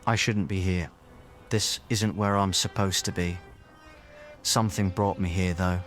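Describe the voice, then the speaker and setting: A man's voice: deep voice, fairly even intonation, a little slow, faint music behind. A man playing a brilliant, troubled time-traveller in an audio drama. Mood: troubled, pensive.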